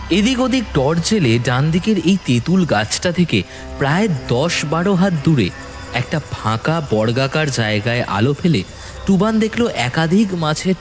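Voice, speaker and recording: A man narrating a scripted horror story in a dramatic, suspenseful manner with deliberate pauses. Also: medium pitch. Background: background music and sound effects.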